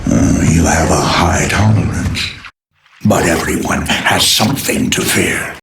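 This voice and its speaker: A menacing villain with a low, creeping voice and slow, sinister, hissing delivery. Loud music sits under his lines in the game cutscene.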